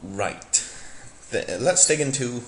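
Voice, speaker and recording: A man's voice: medium pitch, animated, slow, slight background noise. A man narrating a mock-dramatic reading of fan fiction in a theatrical manner. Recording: echoey room.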